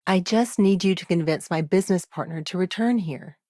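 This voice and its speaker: Actress as a frank, low-pitched female colony lawyer. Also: a little mellow, dry recording, lightly acted. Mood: matter-of-fact. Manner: businesslike, frank, measured, with dry asides. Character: busy, pragmatic, principled female lawyer on a mining colony. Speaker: video game character, lawyer. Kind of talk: conversational video game dialogue acting.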